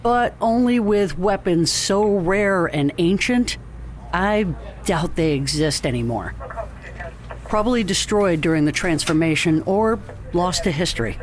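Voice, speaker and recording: An actor playing a measured, authoritative captain in an audio drama, speaking formally and deliberately. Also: male voice, sits high, animated, slight background noise. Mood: grave, musing.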